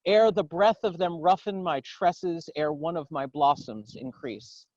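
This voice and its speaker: A man gives a dramatic poetry recitation outdoors, in solemn, declamatory, measured phrases. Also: fairly high pitch, darkish tone, no room sound, dull audio. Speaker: poetry reader. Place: outdoors in a garden.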